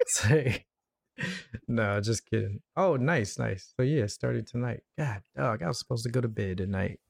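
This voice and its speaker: A man's voice: medium pitch, a little slow, creaky, slightly rough. A man hosting a podcast, casually chatting and reading out entertainment news. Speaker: podcast host.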